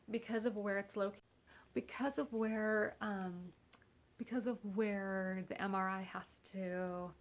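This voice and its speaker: Congested, wry woman with a gravelly voice. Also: medium pitch. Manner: rambling and wry, with self-mocking humor. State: congested, nasal. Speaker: vlogger. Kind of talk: casual chat.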